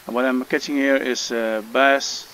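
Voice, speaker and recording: A man's voice: medium pitch, level tone, moderate pace, fairly bright tone, slight background noise. A man from the Netherlands with a Dutch accent, talking through a fishing game tutorial with many hesitations and fillers. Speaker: gamer making a tutorial. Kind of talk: casual gameplay tutorial commentary.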